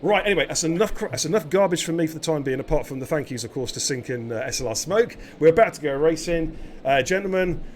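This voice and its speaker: Male esports host, a bit croaky, chatty and laughing, tripping over his words; pitched a little high. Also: animated, fast, a little hiss or hum. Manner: chatty, laughs easily, stumbles and repeats words. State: slightly croaky voice. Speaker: lead sim racing commentator. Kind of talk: live esports race commentary.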